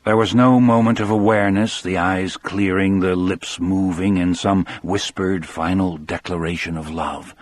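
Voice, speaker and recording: A man narrating a dramatic first-person audiobook as a grief-stricken elderly former prison guard, in a measured, somber way. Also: low voice, no echo.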